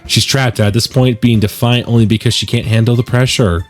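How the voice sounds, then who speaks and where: A man's voice: mid-range voice, fairly even intonation, fairly quick, faint music behind. A man hosting a gaming video, giving conversational, opinionated let's play commentary and reading out narration. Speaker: gaming video host and musician.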